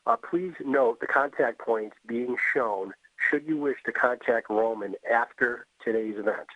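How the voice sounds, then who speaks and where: A man with a mid-pitched voice moderates a webinar in a polite, businesslike manner. He sounds as if he is on a narrow telephone line, very dry, with no room around him. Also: dry recording. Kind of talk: moderating Q&A, reading questions and announcements. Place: remote call. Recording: tinny, phone-like line.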